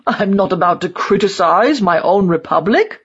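A woman's voice: fairly low pitch, expressive, measured pace, darkish tone, husky, muffled recording, full character voice. Woman voicing a stubborn, scornful old propagandist man. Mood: defiant. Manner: emphatic, scornful, sarcastic. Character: stubborn, angry old male East German propagandist. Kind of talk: dramatised audiobook dialogue.

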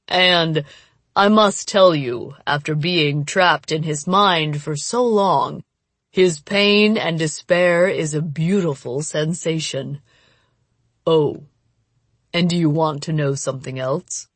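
A woman voicing a cruel, mocking male demon in an audiobook, speaking in a slow, menacing, gloating way. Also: low voice, no room sound. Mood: taunting. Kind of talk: dramatic audiobook character voice.

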